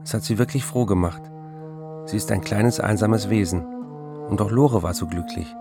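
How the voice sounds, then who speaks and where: Troubled, remorseful writer, intimate and measured, gravelly delivery, radio-play letter reading with music underneath. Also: male voice, on the low side. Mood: tender confession. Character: troubled male writer confessing to his wife, tender, remorseful.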